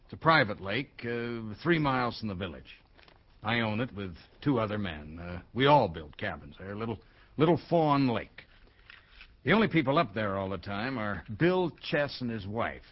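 Troubled American businessman, husky, swooping voice. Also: on the low side, highs cut off. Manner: halting, hesitant, with bursts of bluntness. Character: troubled, blunt American businessman, a wronged husband. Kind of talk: radio drama acting.

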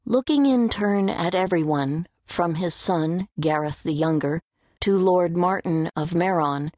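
A woman's voice: fairly low pitch, a little slow, a little mellow, no echo, studio quality. A woman reading scripted story narration for an audiobook.

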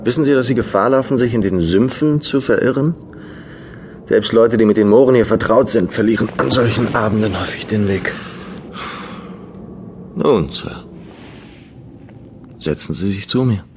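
An actor playing a gruff, reclusive landlord who is cold and mocking, talking in curt, clipped sentences in a radio drama. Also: male voice, fairly low pitch, moderate pace, pauses often, natural voice. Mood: stern. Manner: curt, clipped sentences, sudden hushed menace. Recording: noisy room ambience.

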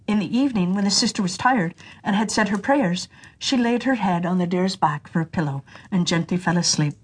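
A woman narrating a fairy tale for children in an expressive, deliberate storytelling manner. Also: mid-range voice, breathy edge, poor audio.

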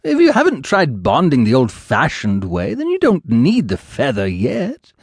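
A man's voice: mid-range voice, huge pitch swings, unhurried, breathy edge, no room sound, studio quality, pitched above the performer's own voice, heavily acted. A man reading an audiobook, voicing an elderly female ghost witch who is doting, nosy and fond of calling people dearie. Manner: fluttery, motherly, teasing, calls others dearie.

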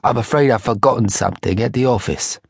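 A man voicing a testy, proud, absent-minded English professor with a husky Cockney accent, in an audiobook story. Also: mid-range voice, quick pace, a little mellow, no echo, studio quality. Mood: calm, insistent.

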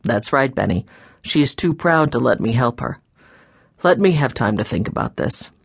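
A woman's voice: extremely low pitch, animated, moderate pace, gravelly, husky, no room sound, studio quality, pitched below the performer's own voice, exaggerated voice. A woman voicing a kindly, troubled old grandfather in a children's audiobook, sounding tired, sad and subdued. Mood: firm, weary.

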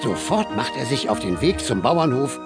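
A male narrator tells a children's story with expressive, swooping intonation and dramatic pauses. His voice has a creaky edge and sits over clear music. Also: medium pitch.

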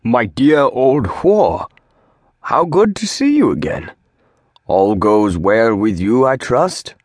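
A man's voice: mid-range voice, sing-song, takes it slowly, a little mellow, slightly rough, no room sound, clean studio sound, voice pushed up, exaggerated voice. A man voicing an earnest young male demon scholar who pleads formally and a little nervously. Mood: cordial.

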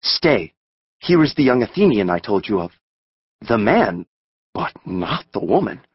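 A man playing a commanding, scheming fairy king in a Shakespeare audiobook, measured and authoritative. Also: on the low side, sing-song, slightly rough, no echo, highs cut off, exaggerated voice. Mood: pleased, plotting. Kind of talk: theatrical audiobook Shakespeare acting.

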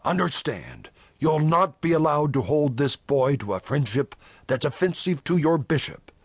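A man's voice: fairly high pitch, big pitch swings, moderate pace, husky, no echo, heavily acted. A man voicing a grim, menacing religious elder. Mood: commanding, righteous. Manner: tense, harsh, commanding. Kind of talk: audiobook character dialogue in a western.

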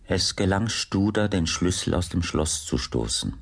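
Male narrator, extremely deep, husky, slow, scripted mystery narration. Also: very animated. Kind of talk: scripted audiobook drama narration.

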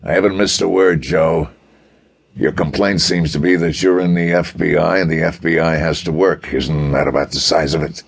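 Guy doing a rich smooth-mannered playboy type, wry and amused, deep gravelly voice, pulp audiobook. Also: steady tone, full character voice. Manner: unhurried, wry, bantering. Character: suave, lazily amused wealthy male gentleman adventurer.

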